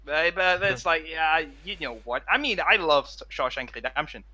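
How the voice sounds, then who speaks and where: A man's voice: fairly high pitch, lively intonation, normal speed, bright, forceful tone. A man chatting casually as a podcast co-host, often cutting his own sentences short. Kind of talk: casual chat.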